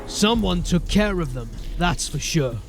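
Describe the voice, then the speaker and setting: A man acting a gruff, authoritative sergeant of a city watch in a fantasy audio drama, with clipped speech. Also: high voice, expressive, unhurried, music in the background. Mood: grim.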